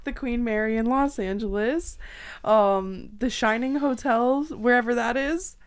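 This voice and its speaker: A woman hosting a podcast in casual chat, talking in an informal, excitable, chatty way. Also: a little high, a little slow, a little hiss or hum. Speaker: podcast host.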